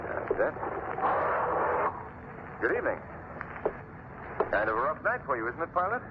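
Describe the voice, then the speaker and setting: An actor playing an assured, reassuring gentleman traveler in an old radio drama. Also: medium pitch, big pitch swings, normal speed, natural voice. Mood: calm. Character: confident young gentleman traveler. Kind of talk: 1930s radio acting. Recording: tinny, hissy old radio broadcast.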